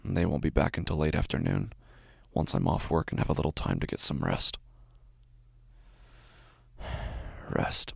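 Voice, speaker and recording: A man playing an exhausted, anxious father, narrating a first-person horror audio drama in a gravelly, husky, halting voice. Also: very deep voice, sing-song, no echo, exaggerated voice. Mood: weary, brooding. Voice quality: gravelly, husky, often breathy. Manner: hushed, halting delivery with heavy sighs. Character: exhausted, anxious father haunted by his daughter's calls.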